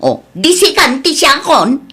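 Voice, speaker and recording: High, swooping, scolding village woman played by an actress. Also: sing-song, unhurried. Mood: indignant scolding. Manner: scolding, exclaiming. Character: scolding, indignant village woman confronting a busybody. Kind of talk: Ilocano radio comedy drama acting.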